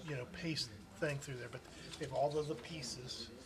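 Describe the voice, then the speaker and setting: A man with a medium-pitched voice chats in a casual, rambling way. He is recorded live in a busy meeting room, with clear crowd chatter behind him. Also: normal speed. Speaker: club member.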